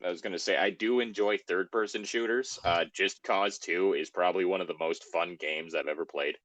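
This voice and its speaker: A man's voice: low-pitched, brisk, fairly bright tone, no room sound. A man in a casual group chat, reading a story aloud with sweary, self-deprecating asides.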